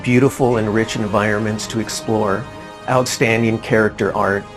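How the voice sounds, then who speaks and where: A man talks as a game developer in a promotional interview. His voice is on the low side, and music is clearly heard beneath it. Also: fairly even intonation, moderate pace.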